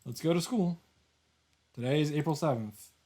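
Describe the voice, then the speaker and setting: A man's voice: fairly high pitch, moderate pace, warm tone. A man reading visual novel narration aloud as a streamer, with informal, casual reactions. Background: game music.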